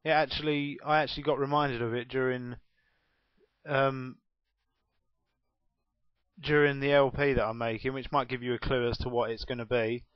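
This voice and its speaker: A well-spoken young Englishman in his twenties rambles through a casual home vlog, self-mocking and quick to laugh. His mid-pitched voice comes across dull and muffled. The small room sounds dead dry, with no echo at all. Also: steady tone. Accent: well-spoken southern English. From England. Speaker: gaming YouTuber.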